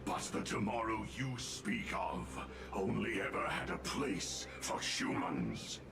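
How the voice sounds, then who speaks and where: A man's voice: lowish voice, big pitch swings, slow, vocal fry, exaggerated voice. A man voicing an ancient, mighty earth dragon in a video game, grim and imperious, with grandiose, solemn delivery. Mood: menacing, defiant. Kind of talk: dramatic video game voice acting. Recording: loud game music behind the voice.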